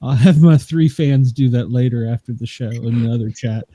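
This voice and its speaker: A man's voice: mid-range voice, moderate pace, warm tone, dry recording. A man in his fifties co-hosting a podcast, rambling in casual chat and laughing at himself. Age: 50s. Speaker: podcast co-host.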